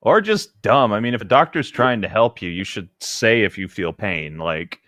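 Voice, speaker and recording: A man with a fairly low voice and very varied intonation co-hosts a casual read-along podcast. He chats and comments informally, and the sound is close and dry. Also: lively intonation, normal speed, a bit bright, no echo. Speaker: podcast co-host.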